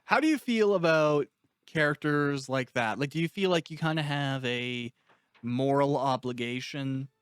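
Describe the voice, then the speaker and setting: A man's voice: on the high side, a little slow, clean tone, no room sound, clean studio sound. A man hosting a casual, conversational interview as the interviewer. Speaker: interviewer, director.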